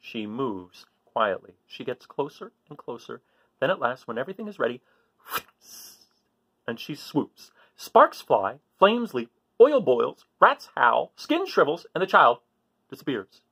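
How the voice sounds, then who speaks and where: A man reads a children's book aloud with great expression. His pitch swings widely and his voice has a rough, husky edge. Phrases are stressed theatrically and drawn out for suspense. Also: very animated, measured pace, fairly bright tone. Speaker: storybook reader for children.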